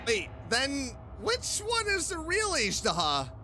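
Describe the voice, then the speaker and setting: A woman streamer giving excitable, reactive live commentary while playing a video game. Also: sits high, slow pace. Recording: loud game music over voice. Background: loud video game music.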